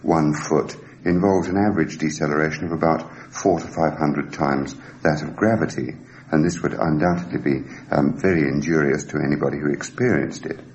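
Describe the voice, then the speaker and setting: A man giving a measured, precise technical explanation in a formal, academic way, as an expert on a radio documentary. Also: rumbling low, steady tone, a little mellow. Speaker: scientific expert interviewee. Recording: noisy archive radio recording.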